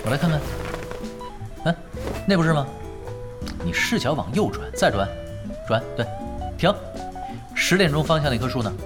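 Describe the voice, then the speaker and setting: An actor playing a laid-back, glib gaming veteran who teases and coaxes in an audio drama, with mock-serious turns. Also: male voice, fairly high pitch, big pitch swings, moderate pace, pauses often, over music. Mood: easygoing, directing. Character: laid-back teasing male gaming veteran coaching his boss. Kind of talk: Chinese audio drama acting.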